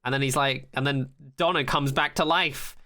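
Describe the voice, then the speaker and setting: A man's voice: medium pitch, fairly even intonation, moderate pace, sharp tone. A man co-hosting a podcast, recapping a TV episode in casual, sweary chat peppered with "like". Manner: sweary, frequent "like", acts out quotes. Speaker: podcast co-host.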